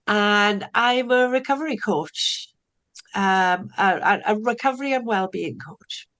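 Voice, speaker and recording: A woman's voice: medium pitch, measured pace. Chatty Welsh woman, middle-aged. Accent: South Welsh. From Wales. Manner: warm, chatty, repeats words when starting a thought. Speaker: recovery coach, podcast guest. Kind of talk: casual interview chat.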